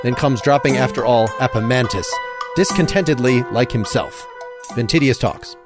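A man's voice: mid-range voice, moderate pace, music in the background. A man hosting a play reading, casually and wryly giving out stage directions.

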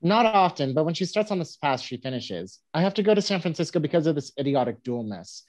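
A man's voice: a little high, very animated, fast. A man acting a wry, boastful frontier newspaperman telling tall tales in a staged reading over video call. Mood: concerned. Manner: wry, droll, ornate phrasing. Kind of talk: staged reading, storytelling monologue. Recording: dull, muffled video-call audio.

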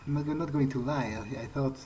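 A man's voice: mid-range voice, dark tone, slight background noise, low-quality recording. A man giving in-character video game commentary, thinking aloud in hesitant, broken phrases. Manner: hesitant, halting musing in short broken phrases. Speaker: let's player speaking in character. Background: video game music.

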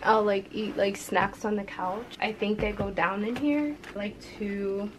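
A woman's voice: medium pitch, a little slow, slight background noise, low-quality recording. A young woman chatting casually at home as a vlogger, with lots of "like" fillers. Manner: chatty, relaxed, with frequent "like" fillers. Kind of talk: casual vlog chat. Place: home, indoors.

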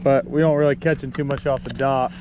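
A man vlogging while he fishes from a pier at night, chatty and excited, talking to a body-mounted camera. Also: medium pitch, brisk, lo-fi sound. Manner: excited, chatty, swears mildly. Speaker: fishing vlogger. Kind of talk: casual narration while fishing. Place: outdoor fishing pier at night. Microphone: body-mounted action camera. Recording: noisy outdoor camera audio.

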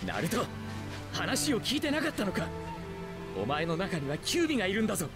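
A man's voice: high voice, wildly swooping pitch, a little fast, music in the background, full character voice. A man playing a stern, protective adult ninja teacher in an anime game, speaking earnestly and firmly. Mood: scolding. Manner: earnest, firm, admonishing. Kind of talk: dramatic anime game acting.

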